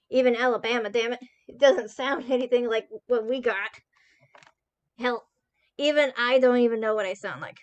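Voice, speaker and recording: A woman from Texas talks casually to her viewers, rambling and swearing as she restarts her sentences. Her voice sits a little high. The recording is dry and slightly dull, like a lo-fi home mic. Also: clear, resonant tone, dry recording. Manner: rambling, profane, self-interrupting. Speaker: channel host. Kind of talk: casual chat.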